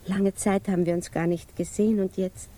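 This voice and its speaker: An actress playing a naive, lovestruck and anxious woman in a 1950s German radio drama, confiding hesitantly and often slipping into breathy whispers. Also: mid-range voice, steady tone, fairly quick, mellow, a little hiss or hum, lightly acted. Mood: shyly elated.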